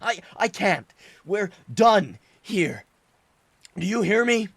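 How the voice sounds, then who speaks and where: A man reading a story, voicing a tormented young man who stammers and shouts at his father through tears. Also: high-pitched, big pitch swings, takes it slowly, vocal fry, dry recording, pitched above the performer's own voice, heavily acted. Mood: sobbing. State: crying. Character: tormented young man, broken, furious, sobbing son.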